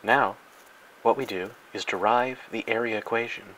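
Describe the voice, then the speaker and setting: Deadpan male educational-film narrator, bright forceful voice. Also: fairly low pitch, normal speed, a little hiss or hum, low-quality recording. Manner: mock-serious, grandiose, deadpan. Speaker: narrator and host.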